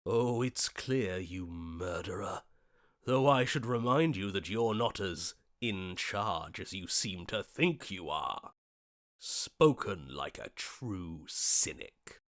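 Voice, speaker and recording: An actor voicing a grandiose, lovesick romantic hero in a video game, with florid, theatrical, archaic declamation. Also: male voice, lowish voice, sing-song, slow, a bit bright, no room sound, clean studio sound, exaggerated voice. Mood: indignant, bitter.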